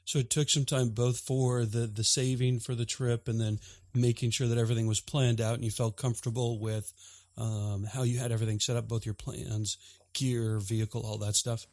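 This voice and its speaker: A man with a mid-pitched voice hosting a podcast, upbeat and measured as he asks questions. The recording is dry and close, with no room sound. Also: little pitch movement, darkish tone, no echo. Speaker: podcast host. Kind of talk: friendly interview questions.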